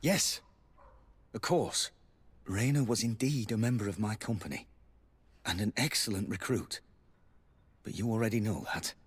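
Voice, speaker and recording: An actor playing a wary, grave military lieutenant with a husky voice in a video game, speaking in a secretive, measured way. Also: male voice, on the low side. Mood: grave, confiding. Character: guarded, wary male military lieutenant instructor. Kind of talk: video game character acting.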